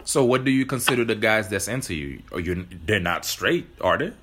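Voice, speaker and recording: Low-voiced male host who interrupts often. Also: animated, a little fast, noisy background. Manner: interrupts, says "hold on" a lot. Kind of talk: casual interview chat.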